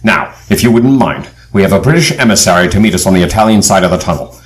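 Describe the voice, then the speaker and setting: A man playing a courteous, wry leader of an adventure team in an audio drama, polite and measured in his wording. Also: deep voice, lively intonation.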